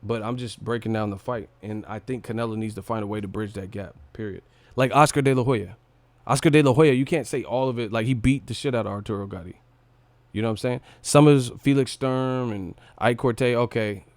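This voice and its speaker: A man's voice: mid-range voice, fairly quick, no echo. Blunt man talking boxing, dry close sound. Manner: blunt, opinionated, slangy, swearing. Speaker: livestream host, boxing commentator. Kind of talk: casual unscripted livestream fight breakdown.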